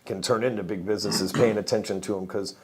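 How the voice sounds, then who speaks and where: A male host keeps the conversation loose and friendly, nodding along and feeding his guest leading questions. His voice is on the low side, with a relaxed, everyday delivery. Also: fast talker, slightly rough. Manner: casual, affirming, asks leading questions. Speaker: interview host. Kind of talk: conversational interviewing. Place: studio.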